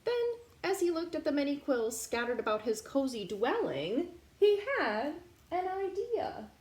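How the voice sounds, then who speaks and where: Expressive woman reading aloud in an echoey room. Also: sits high, a little slow. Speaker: children's story reader, teacher. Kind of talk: expressive read-aloud for young children. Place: indoor room. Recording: roomy echo, clear background noise.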